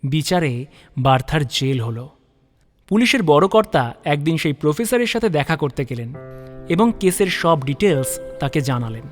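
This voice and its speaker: A man's voice: a little high, very animated, normal speed, soft background music. A man narrating a scripted detective story in a dramatic storytelling style.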